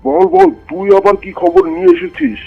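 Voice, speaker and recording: Man voicing a gravelly, blustering cartoon tiger landlord. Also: very high voice, moderate pace, exaggerated voice. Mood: alarmed. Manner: blustering, swooping, bossy. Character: bullying, domineering male tiger landlord of the jungle. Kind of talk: Bengali cartoon character dialogue. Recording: dull lo-fi audio with music behind.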